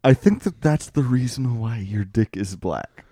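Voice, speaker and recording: A man's voice: mid-range voice, animated, measured pace, mellow, dry recording. Dry-sounding joking male co-host. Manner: jokey, laughs often, overlaps others. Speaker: podcast co-host. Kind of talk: casual comedic banter. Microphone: headphones on.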